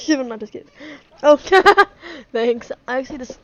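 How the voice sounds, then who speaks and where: A woman's voice: high voice, expressive, measured pace, dull audio, a little hiss or hum. A woman live-streaming a game, giggly, dropping short offhand casual remarks over the story. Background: faint game music.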